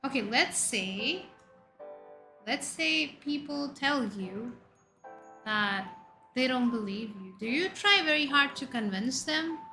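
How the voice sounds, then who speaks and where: A woman from India with an Indian accent, a VTuber in her thirties chatting casually with her viewers and firing off questions and loud exclamations. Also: high-pitched, animated, slow. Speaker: VTuber streamer. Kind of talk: casual chat reading viewer messages. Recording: faint background music under voice.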